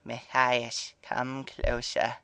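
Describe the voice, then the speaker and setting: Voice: mid-range voice, completely flat delivery, very slow, pushed and bright, clear, resonant tone, dry recording, heavily acted. A performer voicing a doddering old male mouse in a comedy cartoon parody, theatrical and muddled. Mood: dying, muddled.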